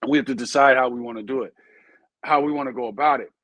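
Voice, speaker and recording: A man in his usual middle pitch, talking casually and earnestly as a show host. He lays out his points with everyday comparisons and keeps checking in with his listeners. The sound is dry and close, with no room behind it. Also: fast, fairly bright tone, dry recording, poor audio. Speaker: show host and dog breeder. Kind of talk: casual podcast talk.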